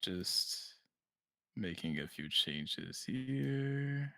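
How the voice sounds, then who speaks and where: A woman co-hosting a livestream, calm and reassuring as she greets the chat. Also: a bass voice, very slow, no echo, studio quality. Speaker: livestream co-host.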